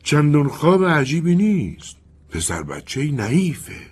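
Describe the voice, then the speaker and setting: A man's voice: a little high, very animated, a little mellow, husky, pitched above the performer's own voice. A man voicing a restless male wind spirit who tells half-remembered stories with a teasing air. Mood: sly.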